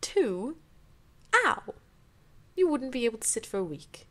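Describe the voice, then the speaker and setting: A woman's voice: fairly high pitch, very animated, unhurried, pushed and bright. A woman doing an amateur dramatic reading of fanfiction, theatrical, with incredulous, sarcastic asides.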